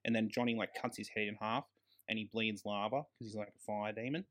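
A man's voice: on the low side, fairly even intonation, a little fast, fairly bright tone. An Australian man co-hosting a podcast, discussing films casually and enthusiastically with emphatic, sweeping claims. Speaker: podcast co-host.

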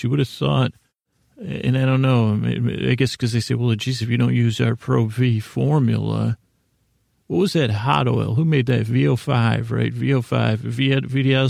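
An American man with a gravelly, creaky voice hosting a bedtime podcast, rambling in a halting, meandering way with drawn-out pauses. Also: medium pitch, fairly even intonation, darkish tone, no echo, clean studio sound. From United States. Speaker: podcast host.

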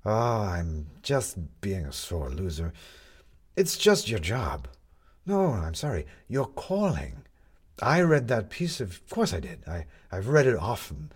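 Man acting a bitter, impassioned con artist, low-voiced. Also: wildly swooping pitch, measured pace, breathy edge, heavily acted. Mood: confessional, impassioned. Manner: impassioned, emphatic, rhetorical. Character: bitter theatrical male con artist, proud, talkative, wounded.

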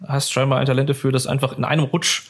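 Creaky-voiced man chatting on a podcast. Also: mid-range voice, flat delivery, fast talker. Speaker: podcast co-host. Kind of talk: casual podcast chat.

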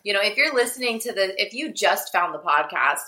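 A woman's voice: medium pitch, animated, a little fast, sharp tone. A woman hosting a casual podcast chat, keeping the conversation on track and moving to the next topic. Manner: organizes the talk, steers to the next topic. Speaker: podcast host.

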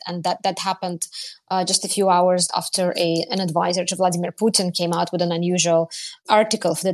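A woman journalist giving explanatory commentary on current affairs in a news podcast discussion. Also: mid-range voice.

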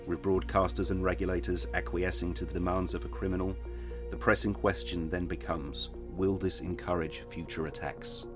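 A man's voice: deep voice, flat delivery, moderate pace, lightly acted. A man playing a serious news reporter delivering a formal scripted report in an audio drama. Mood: grave. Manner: formal, grave. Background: music.

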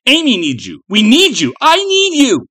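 A man voicing a frightened, desperate young boy who pleads and shouts in an audiobook story. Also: very high voice, huge pitch swings, drawn-out words, voice pushed up, exaggerated voice. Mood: pleading. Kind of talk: audiobook character acting.

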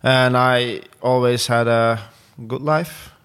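A man's voice: mid-range voice, steady tone, slow, a bit bright, clear, resonant tone. A young man from Turkey with a Turkish accent, chatting casually as a podcast guest, full of fillers and restarts. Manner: rambling, many fillers and restarts. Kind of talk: casual interview chat.

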